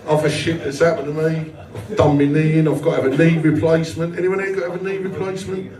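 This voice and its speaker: A man's voice: fairly high pitch, level tone, fast. A man doing live stand-up comedy, blunt and sweary, chatting with the audience in a self-deprecating way. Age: 40s. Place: small indoor comedy venue with a live audience. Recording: roomy live club, distant mic, audience noise.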